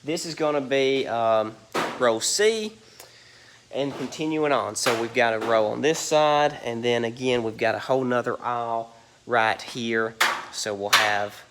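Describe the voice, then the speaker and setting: A man's voice: mid-range voice, takes it slowly, noisy background. Rambling man with a stuffy nose. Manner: rambling, many ums and "you guys". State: stuffy, nasal from allergies. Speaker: reseller giving a warehouse tour. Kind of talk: casual walk-through vlog. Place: large indoor warehouse.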